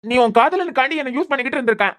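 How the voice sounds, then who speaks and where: A woman's voice: high-pitched, lively intonation, rapid-fire. Woman doing a bitter, sarcastic young husband. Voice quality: sarcastic, bitter, repeating words when angry. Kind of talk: amateur audio drama.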